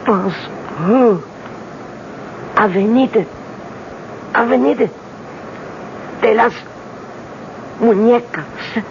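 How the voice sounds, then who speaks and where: A dying foreign king in an old radio drama. His high, weak voice gasps out broken English very slowly, urgent and halting. The broadcast is dull and clearly noisy. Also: exaggerated voice. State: dying, weak, struggling for breath. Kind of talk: 1970s radio drama acting.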